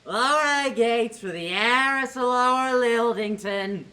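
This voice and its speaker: A dim gate guard bellowing out his challenges, voiced by a young woman. He drags every word out slowly in a bright, high voice. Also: a little hiss or hum. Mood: official. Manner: slow, booming. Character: dull-witted gate guard, loud and slow.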